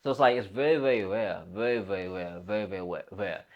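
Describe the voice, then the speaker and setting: A man's voice: on the low side, fairly even intonation, fast talker, lo-fi sound. A man in his late twenties giving a casual motivational talk as a fitness coach, emphatic, repeating phrases and using informal bro slang.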